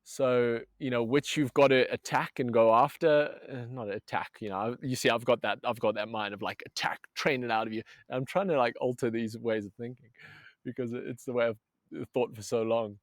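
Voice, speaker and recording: A man's voice: mid-range voice, fairly even intonation, brisk, no room sound. Earnest rambling man, dry sound. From Zambia. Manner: earnest, rambling, many restarts, keeps saying "man". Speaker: movement coach guest. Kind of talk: casual podcast conversation.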